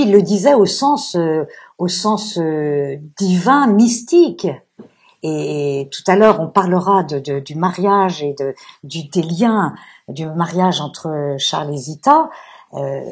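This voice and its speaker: Husky, low-voiced woman lecturing. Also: darkish tone. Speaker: guest speaker, author. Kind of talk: public lecture discussion, unscripted.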